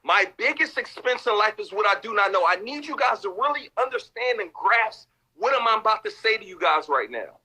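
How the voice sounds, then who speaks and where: Husky, urgent motivational speaker man. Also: sits high, very animated, moderate pace, hardly any pauses, sharp tone, low-quality recording. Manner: urgent, exhorting, addresses viewers directly. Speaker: co-host of a live stream. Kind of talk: motivational live-stream talk.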